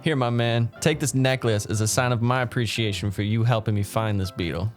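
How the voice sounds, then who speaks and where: A performer acting out a young male oni gang leader, brash, dim and big-hearted, talking in boastful slang at a middling pitch. It is a comic puppet-show character, with faint music behind. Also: a little fast, natural voice. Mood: casual, upbeat. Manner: slangy, boastful bro talk.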